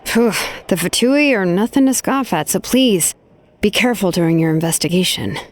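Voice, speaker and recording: A mid-pitched woman's voice, acted by a woman as a caring video game ally. She sounds composed, gentle and dependable, with noticeable ambient noise behind her. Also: animated, normal speed, lightly acted. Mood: calm, caring.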